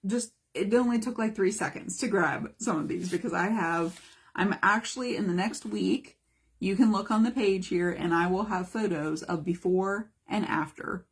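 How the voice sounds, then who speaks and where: A chatty woman art teacher talks through her craft demo live from her home studio, rambling a little and stopping to greet viewers. Her voice is hoarse and breathy, with an even, mid-height tone. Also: medium pitch, normal speed. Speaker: mixed media art teacher. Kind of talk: casual live craft demonstration.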